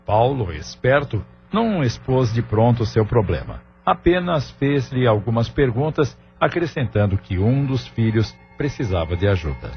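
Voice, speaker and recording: A man narrating a scripted audio novel in a formal, measured manner. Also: mid-range voice, sing-song. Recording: dull lo-fi audio with music underneath.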